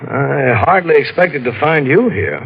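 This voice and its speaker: An actor playing a skeptical, matter-of-fact police detective in 1940s radio acting. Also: male voice, on the high side, sing-song, measured pace, gravelly, slight background noise, full character voice. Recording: muffled vintage radio recording.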